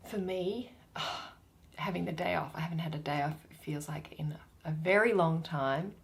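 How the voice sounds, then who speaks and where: A woman's voice: low voice, moderate pace, vocal fry. A woman hosting a play reading, casual in her introduction and reading out stage directions and names. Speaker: host and reader.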